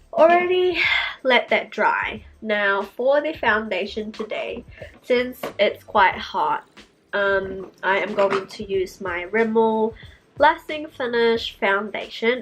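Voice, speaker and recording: A young woman with a raspy, congested voice, clearly sick and breathing heavily as she talks. She takes her time, speaking in an unhurried, easy way through a casual beauty tutorial, with soft music playing faintly underneath. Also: medium pitch. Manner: breathy, heavy breathing, light laughs. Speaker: beauty vlogger.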